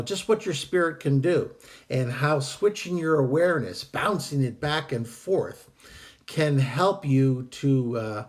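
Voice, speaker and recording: A man with a hoarse voice from a cold, giving a casual spoken lesson in a relaxed, halting way with frequent fillers. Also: mid-range voice. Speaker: astral projection teacher. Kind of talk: casual instructional talk.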